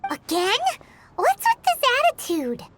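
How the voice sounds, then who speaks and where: Squeaky, very high-pitched little companion. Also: female voice, huge pitch swings, a little slow, very noisy, heavily acted. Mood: puzzled. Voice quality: squeaky, childlike. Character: tiny childlike female companion, squeaky, excitable, well-meaning. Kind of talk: video game voice acting.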